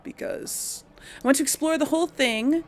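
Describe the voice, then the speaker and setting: A woman's voice: high voice, normal speed. A woman giving casual gaming commentary and musing aloud hesitantly as she role-plays. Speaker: let's play host role-playing a game heroine.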